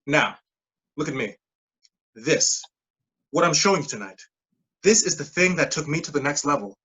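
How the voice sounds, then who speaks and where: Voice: mid-range voice, animated, normal speed, pushed and bright, breathy edge. An actor in a staged play reading, playing a persuasive, evasive pyramid-scheme recruiter who insists in clipped phrases. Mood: persuasive, intense. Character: smooth-talking, pushy pyramid-scheme recruiter mentoring a junior coworker.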